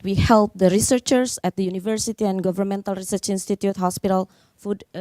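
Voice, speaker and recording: A woman's voice: mid-range voice, warm tone, no room sound. A woman from Indonesia in her thirties, a startup founder on a panel, explaining plainly with fillers. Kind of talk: panel talk. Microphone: handheld.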